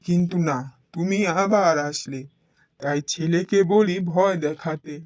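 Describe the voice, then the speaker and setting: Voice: mid-range voice, a little slow, darkish tone, dry recording, lightly acted. A voice actor playing an old male caretaker in a cartoon, a scheming, fawning villain. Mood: confessing. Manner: fawning, then confessing villain. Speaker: cartoon character voice.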